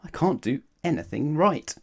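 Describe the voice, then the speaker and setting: A man's voice: fairly high pitch, lively intonation, moderate pace, gravelly, voice pushed up. A man voicing a glum, muddled male storybook character, earnest and sighing, in a read-aloud. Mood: miserable.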